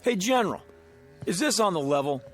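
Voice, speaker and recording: A streetwise gambler who wisecracks his way through a radio drama. His voice sits high and moves a lot. Faint music lies beneath him. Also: very animated, a little fast, fairly bright tone. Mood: brash. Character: brash male gambler and con man.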